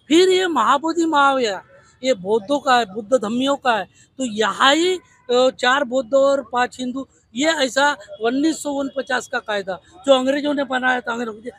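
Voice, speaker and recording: A Maharashtrian man speaks in a very high-pitched, forceful voice. He argues like a protest activist, pressing his case with repeated rhetorical questions. The sound is dry and close. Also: no echo. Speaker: protest leader and party activist. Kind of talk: impassioned protest interview.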